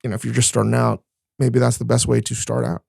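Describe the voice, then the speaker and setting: A man hosting a casual podcast talk, his voice gravelly with a fry at the ends of phrases. He talks frankly and with animation, cursing freely. The sound is dry and close, with no room echo. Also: medium pitch, little pitch movement, fast, darkish tone. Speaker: podcast host and chef.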